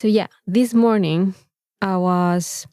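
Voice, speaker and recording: A woman's voice: mid-range voice, fairly even intonation, takes it slowly, warm tone, clean tone, dry recording, studio quality. A woman with a Mexican accent, co-hosting a casual podcast chat in an informal, rambling, sweary way. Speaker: podcast co-host.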